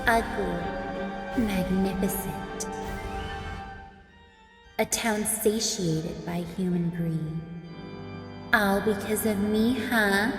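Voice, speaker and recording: A woman acting a haughty, commanding vampire mistress bent on ruling the world, in an audio drama. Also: medium pitch. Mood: smug, brooding. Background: music.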